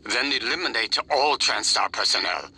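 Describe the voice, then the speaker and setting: A man's voice: on the low side, animated, normal speed, pushed and bright, slight background noise, heavily acted. An actor playing a cold, ruthless corporate chairman who speaks in a formal, clipped, authoritative way. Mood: cold, commanding.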